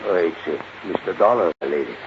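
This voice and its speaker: A humble old man in a vintage radio play. He is shy and halting, with a low voice, buried in heavy recording noise. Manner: halting, deferential. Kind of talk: 1950s radio drama acting. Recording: very noisy, dull 1950s radio recording.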